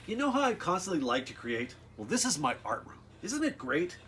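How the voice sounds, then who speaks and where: A man's voice: a little high, huge pitch swings, a little fast, a bit bright, a little hiss or hum. A man reading a children's picture book aloud expressively, with casual asides addressed straight to young listeners. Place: outdoors.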